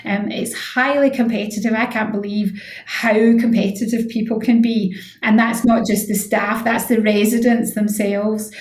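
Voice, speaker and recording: A woman's voice: mid-range voice, little pitch movement, moderate pace. A woman chatting informally as an expert guest in a radio interview, explaining things and readily agreeing. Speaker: head of physiotherapy, interview guest. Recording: echoey room sound.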